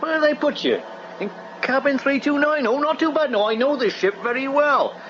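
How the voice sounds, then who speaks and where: Jovial, flirty, high-voiced roguish professor. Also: male voice, a little fast. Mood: flirtatious, hearty. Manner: chuckling, hearty, patronising. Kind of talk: radio comedy drama acting. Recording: noisy, dull 1980s radio broadcast recording.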